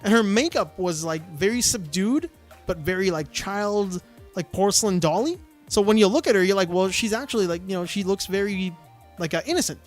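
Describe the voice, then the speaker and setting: A man co-hosting a podcast, telling a story in casual chat, animated and full of fillers. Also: sits high, fairly quick, soft background music. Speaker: podcast co-host.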